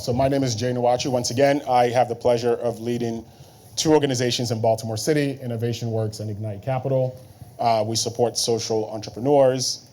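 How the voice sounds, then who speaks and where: A man from Nigeria, in his thirties, moderating a conference panel in a relaxed way with many fillers. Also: mid-range voice. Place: conference room.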